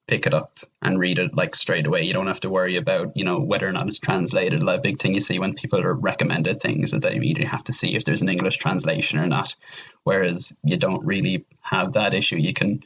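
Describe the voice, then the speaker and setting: Low-pitched Irish man chatting casually. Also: a bit bright, poor audio. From Ireland. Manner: rambling, repeats words. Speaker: podcast guest. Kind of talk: casual interview chat.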